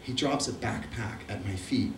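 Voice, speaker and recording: A man's voice: on the low side, normal speed, slight background noise. A middle-aged man with an Argentine accent, telling a profane, wry travel story to a live audience. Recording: roomy, distant mic.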